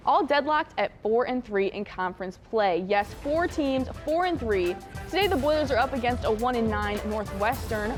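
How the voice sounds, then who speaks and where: Young female sportscaster, high voice, scripted highlight reads, light music underneath. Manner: punchy, excited calls on big plays, wry asides. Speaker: sports anchor.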